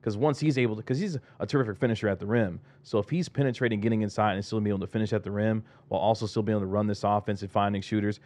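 Fast-talking, lowish-voiced male sports pundit. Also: fairly even intonation, a little mellow. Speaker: sports commentator on a panel. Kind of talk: conversational sports analysis.

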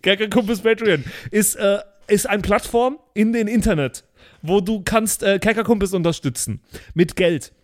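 A man playing an eager young street dude, talking excitably in broken-grammar slang in an improvised comedy sketch. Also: high voice, moderate pace, close to an everyday voice. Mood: eager, slangy.

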